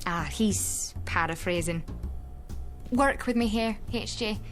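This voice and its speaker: A woman's voice: medium pitch, takes it slowly, fairly bright tone. A woman voicing a blunt, sarcastic young female student, in a video game cutscene with music under her.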